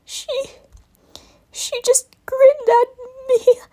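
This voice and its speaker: A young boy dragon, acted out by a woman, pours out a fright through sobs. The voice is very high and pushed, breaking and stammering with whimpers. It belongs to a dramatic storybook-style reading. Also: steady tone, very slow, mellow, exaggerated voice. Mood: sobbing, panicked. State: crying. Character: distraught young male dragon confessing a nightmare. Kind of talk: dramatic reading of fan fiction.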